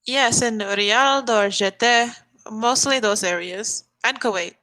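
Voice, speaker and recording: A woman's voice: a little high, slow pace, pushed and bright, poor audio. A woman from the Bahamas working as an online English tutor, chatting casually and laughing often on a video call.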